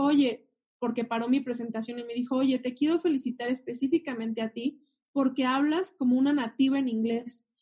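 Earnest young woman, high voice. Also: mellow. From Mexico. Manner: earnest, heartfelt storytelling. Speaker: guest. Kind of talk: personal faith testimony.

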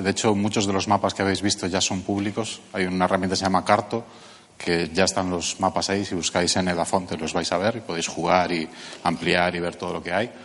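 A man with a Spanish accent from Catalonia speaks at a conference, his low voice ringing through a large hall. He talks in an animated way and slips into mocking voices when he quotes people. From Barcelona. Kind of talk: conference talk.

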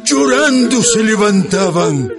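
Slow Andean peasant narrator, high-voiced. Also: male voice, expressive, exaggerated voice. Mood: grave. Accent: Ecuadorian Andean. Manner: solemn storytelling. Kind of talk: scripted radio drama narration. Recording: music bed under the voice.

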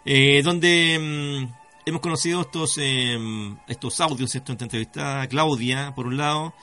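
A man's voice: mid-range voice, fairly even intonation, normal speed, pushed and bright, soft background music. A man with a Chilean accent hosts a conversational radio interview, hesitating often and tagging phrases with checking questions. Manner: hesitant, often adds "cierto" tags. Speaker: radio host.

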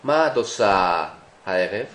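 A man giving a language lesson as the teacher, pronouncing short phrases deliberately with hesitant pauses. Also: deep voice, expressive, fairly bright tone, roomy sound.

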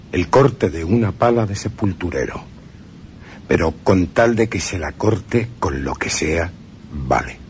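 A man with a Spain Spanish accent reading a radio text in a slow, ominous way that sinks to whispers. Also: low-pitched, slight background noise.

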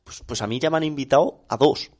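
A man's voice: medium pitch, fast, darkish tone. A man from Spain co-hosting a local radio show, teasing and chuckling in casual banter. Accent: Spanish (Spain). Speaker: radio co-host. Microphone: studio microphone.

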